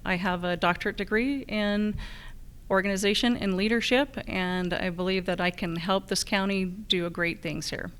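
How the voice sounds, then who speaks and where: Clear-voiced American woman giving a campaign introduction. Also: medium pitch, steady tone, moderate pace, a bit bright. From rural Utah, United States. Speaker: political candidate. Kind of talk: candidate introduction speech at a public forum.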